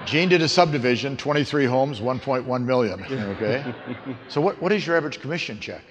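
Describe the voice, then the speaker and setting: A man's voice: medium pitch, animated, a little fast. Encouraging male host in a reverberant, noisy hall. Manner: encouraging, repeats answers back. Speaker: seminar host interviewing attendees on stage. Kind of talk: live on-stage interview. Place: large event hall with audience. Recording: echoey hall with clear background noise.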